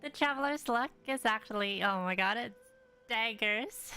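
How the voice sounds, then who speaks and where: Bright-voiced young woman streaming a game. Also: fairly high pitch, moderate pace, clear, resonant tone, faint music behind. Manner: exclamatory, slangy. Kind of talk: casual live gameplay reactions.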